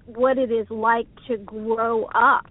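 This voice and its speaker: A woman's voice: a little high, level tone, slow, no echo. Rambling woman on a phone line. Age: 40s. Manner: rambling, self-deprecating, laughs often. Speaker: co-host. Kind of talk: casual chat on internet radio. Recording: dry tinny phone line.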